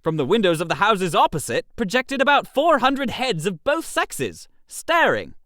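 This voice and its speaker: A man reads a comic story as narrator, with theatrical expression. His pitch swings widely and sits fairly high for a man, and the recording is dry and close. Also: wildly swooping pitch, normal speed, a bit bright, no echo. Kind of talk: animated first-person comic story narration.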